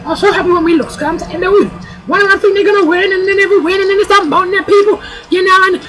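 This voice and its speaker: A loud, sassy older woman guest voiced by a performer rambles through her tale in a very high, piercing voice. She keeps calling people "child" and never lowers the volume for long. It is a comic talk-show sketch. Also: steady tone, quick pace, rough recording.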